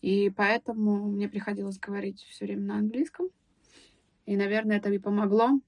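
A young woman guest on a podcast, recalling her school years in a thoughtful, hesitant way with many pauses and restarts. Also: medium pitch, fairly even intonation, a little mellow, no room sound. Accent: Russian speaker living in England. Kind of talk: casual podcast interview.

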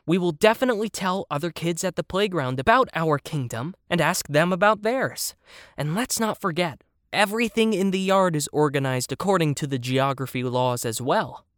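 Narrator voicing an earnest, high-pitched bossy young explorer. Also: no echo, studio quality, lightly acted. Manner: self-important, emphatic. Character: earnest, bossy child explorer playing geographer.

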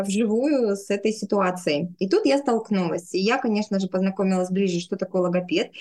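A woman's voice: medium pitch, darkish tone. A woman in her thirties speaking conversationally as an interview guest, telling personal stories. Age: 30s. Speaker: podcast guest, speech therapist.

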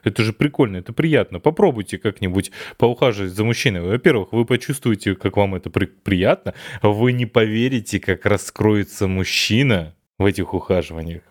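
A man's voice: lowish voice, a little fast, few pauses. A young man co-hosting a podcast, giving earnest, emphatic advice in casual chat. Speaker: podcast co-host.

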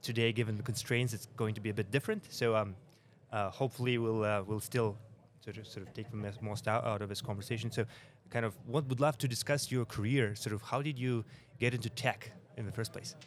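A man's voice: lowish voice, fairly even intonation, brisk, hardly any pauses. A man hosting a podcast at a forum, asking long questions full of restarts and fillers. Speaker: podcast host. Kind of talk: interview hosting. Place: conference forum venue.

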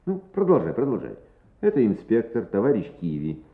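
Casting: senior bureaucrat for radio drama, patient, attentive, lowish voice on a tinny old recording. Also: male voice, huge pitch swings, fairly quick, echoey room. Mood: encouraging. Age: older. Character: important grey-haired education official, attentive and patient. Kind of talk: Soviet radio play acting.

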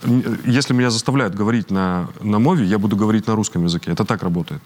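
Low-voiced man, earnest and halting. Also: a little mellow. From Ukraine. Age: 30s. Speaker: guest. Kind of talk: interview conversation.

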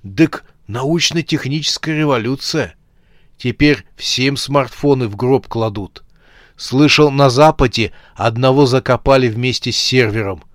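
A man's voice: medium pitch, a little slow, dry recording, clean studio sound, close to an everyday voice. A man voicing a cheeky younger male bandit in an audio story, sliding between mock-refined speech and street slang. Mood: scared.

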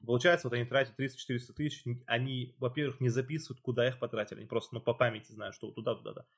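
A man's voice: mid-range voice, little pitch movement, rapid-fire, slightly rough. A man hosting a casual chat, explaining at length with loose phrasing and fillers. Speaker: podcast host.

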